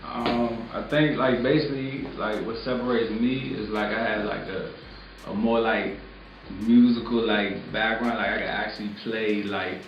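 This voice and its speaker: A young man talks in a loose, laid-back way, filling his answers with casual fillers. His voice sits a little low. It comes from a mic set well back in an echoey room, with noticeable background noise. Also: fairly even intonation, moderate pace, distant mic, dull audio. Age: 20s. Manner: laid-back, filler-heavy, lots of "like" and "you know what I'm saying". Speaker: music producer, guest. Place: small recording studio.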